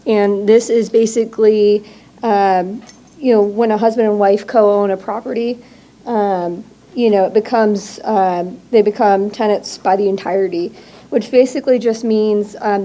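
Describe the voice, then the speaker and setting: A woman's voice: mid-range voice, warm tone. A woman presenting at a legal aid workshop, explaining steadily with frequent ums and you knows. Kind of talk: public workshop talk. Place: expo hall.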